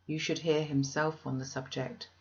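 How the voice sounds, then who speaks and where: A woman reader playing an earnest, resolute young woman in a classic novel, measured and formal. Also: low-pitched, little pitch movement, highs cut off. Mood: earnest, aggrieved.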